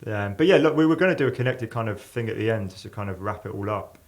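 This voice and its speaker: Male podcast host, lowish pitch, rapid casual delivery. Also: expressive.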